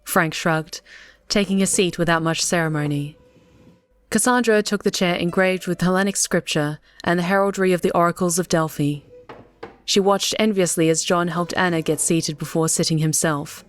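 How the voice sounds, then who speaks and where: A woman's voice: on the low side, moderate pace. A woman reading scripted story narration as an audiobook narrator. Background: soft music.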